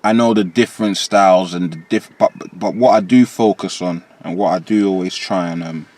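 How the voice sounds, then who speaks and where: A man with a London accent, a rapper guest in a candid interview, telling stories in an animated way with London slang. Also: normal speed. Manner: lively, rising pitch when quoting himself.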